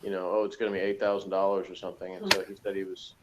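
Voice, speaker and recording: A man's voice: sits low, little pitch movement, very fast, heavy background noise. A man with an American accent chatting casually and ramblingly as a panelist. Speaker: podcast panelist. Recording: dull lo-fi audio.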